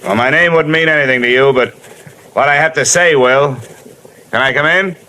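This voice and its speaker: An actor playing a wisecracking insurance investigator who narrates a 1950s radio drama in a dry, hard-boiled way. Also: male voice, fairly high pitch, normal speed, sharp tone, a little hiss or hum. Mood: wry. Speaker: narrator and lead.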